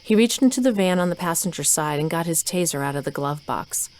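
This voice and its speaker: Low-pitched woman narrating a story. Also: lively intonation, fairly quick, darkish tone. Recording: noisy ambient sound effects.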